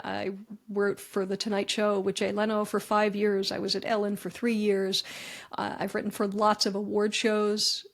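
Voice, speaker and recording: A woman's voice: medium pitch, creaky. A woman, a comedy writer answering interview questions in a chatty, self-deprecating way. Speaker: guest, comedy writer and speaker.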